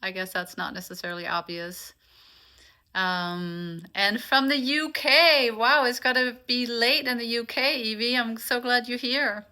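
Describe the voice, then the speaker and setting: A woman's voice: on the high side, little pitch movement, a little slow, pushed and bright. A woman from Denmark, a native Danish speaker, hosting an informal live online session as a meditation teacher, welcoming attendees and explaining things to them directly. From Copenhagen, Denmark.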